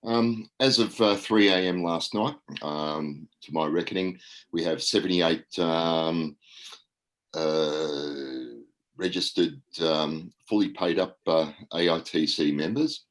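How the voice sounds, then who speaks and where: An Australian man with an extremely deep voice reports at an online meeting. He hesitates and rambles, filling gaps with ums and uhs. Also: slow. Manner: hesitant, many fillers, rambling. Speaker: registrar reporting at an annual general meeting.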